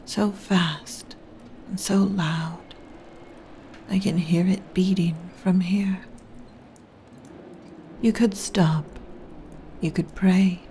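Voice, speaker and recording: A woman voicing a seductive, taunting undead lich queen in a breathy whisper, as a slow, teasing ASMR roleplay monologue. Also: fairly low pitch, steady tone, warm tone, a little hiss or hum. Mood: taunting, beckoning. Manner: slow, deliberate, teasing, regal. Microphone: close mic.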